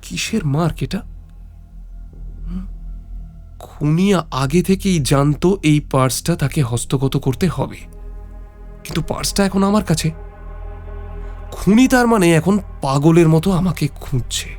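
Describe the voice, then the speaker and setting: A man acting a sharp, daring young detective on the run in an audio drama, speaking in a brooding, dramatic manner. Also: a little high, lively intonation, slow, long pauses, soft background music. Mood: brooding, suspicious.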